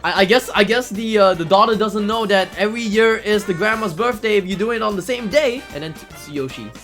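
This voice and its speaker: A man's voice: high voice, a little fast, fairly bright tone. A man giving casual, hesitant live commentary as he speedruns a video game, often repeating words. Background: game music.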